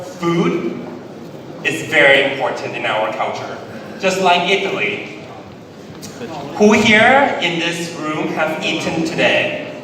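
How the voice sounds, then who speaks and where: A man from Thailand with a Thai accent, giving a public presentation in English as a conference speaker, deliberate and emphatic with repetition. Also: fairly high pitch. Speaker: conference speaker, business executive. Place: large indoor event hall. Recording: very echoey hall, distant, noisy ambience.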